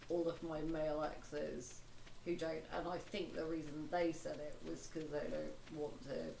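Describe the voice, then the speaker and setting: A woman sitting in as a guest on a gaming video, chatting casually and self-deprecatingly. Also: low voice. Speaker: guest co-commentator. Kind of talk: casual chat over gameplay. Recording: echoey room with clear noise.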